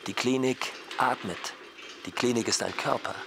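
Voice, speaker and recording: An actor playing a weary, watchful hospital night-shift worker in a radio play, speaking in clipped, report-like fragments. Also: male voice, mid-range voice, moderate pace, vocal fry, noisy background, lightly acted.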